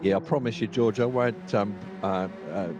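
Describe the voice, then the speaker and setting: A man's voice: on the low side, moderate pace. A man with a South African accent, co-commentating a sim race live, chatty and analytical, often restarting his sentences. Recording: steady background noise.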